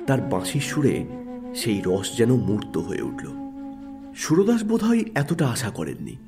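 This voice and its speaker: A man narrating a literary story in a scripted audiobook style. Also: medium pitch.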